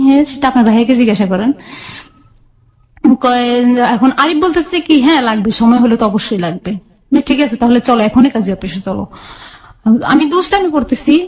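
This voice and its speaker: Fast-talking, higher-voiced Bangladeshi woman storyteller. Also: poor audio. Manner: animated retelling with quoted dialogue. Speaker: guest telling own life story. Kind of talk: conversational personal storytelling on radio.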